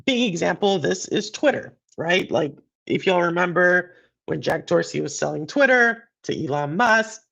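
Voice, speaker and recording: Hoarse, gravelly man with a sore throat. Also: lively intonation, moderate pace, fairly bright tone. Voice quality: deeper than usual. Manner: rambling, conversational, with many fillers and restarts. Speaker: podcast host and streamer. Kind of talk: casual gaming and business commentary.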